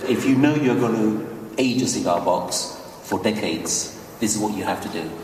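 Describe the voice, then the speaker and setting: A man on a panel who answers audience questions in a relaxed, joking way and often repeats phrases. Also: lowish voice, normal speed, noisy background. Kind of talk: casual expert talk at a live panel. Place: large convention hall. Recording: echoey hall audio from far off.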